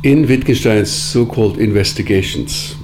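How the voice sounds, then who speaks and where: Measured low-voiced man, noisy background hum. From Germany. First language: Sorbian. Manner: measured, deliberate, with frequent pauses and fillers. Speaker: interviewee, editor of philosophical writings. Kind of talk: reflective interview answers.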